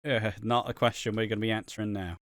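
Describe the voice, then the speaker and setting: A man's voice: on the low side, steady tone, fast, fairly bright tone, no echo, studio quality. A man co-hosting a casual podcast about a TV soap, swearing freely and acting out characters' lines. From United Kingdom. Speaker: podcast co-host.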